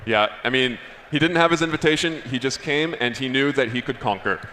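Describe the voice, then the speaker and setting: A man with a bright, forceful voice hosts live esports coverage. He sounds enthusiastic and congratulatory. The sound has long hall reverb and faint noise behind him. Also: medium pitch, fairly quick. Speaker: tournament commentator and interviewer. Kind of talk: live esports commentary and interview.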